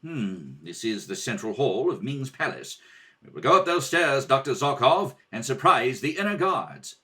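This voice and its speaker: A man's voice: medium pitch, sing-song, normal speed, bright, forceful tone, pitched below the performer's own voice. A man acting a brave, noble rebel prince in a radio serial, speaking earnestly and formally. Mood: hushed, resolute.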